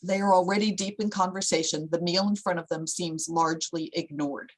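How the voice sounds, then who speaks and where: A woman's voice: fairly low pitch, steady tone, a little fast, low-quality recording. A woman narrator reading screenplay scene headings and stage directions as scripted narration.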